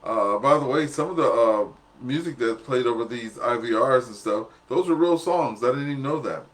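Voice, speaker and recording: A man's voice: mid-range voice, lively intonation, normal speed. Jovial man hosting a radio net. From southern United States. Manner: jovial, chatty, self-deprecating. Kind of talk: casual amateur radio net chat.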